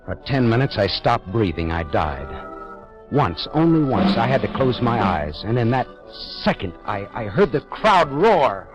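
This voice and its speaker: A man's voice: medium pitch, sing-song, a little slow. An actor playing a wry, tense male private detective who narrates in clipped, hard-boiled 1940s radio style. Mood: tense narration. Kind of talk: 1940s radio drama acting. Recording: dull lo-fi 1940s radio broadcast. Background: dramatic music score.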